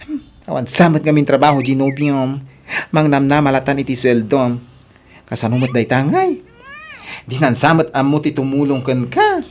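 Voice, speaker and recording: A woman's voice: extremely low pitch, animated, moderate pace, darkish tone, slight background noise. A woman with a Filipino accent playing a nagging, skeptical older sister in an audio drama. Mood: scolding. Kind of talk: Ilocano radio drama acting.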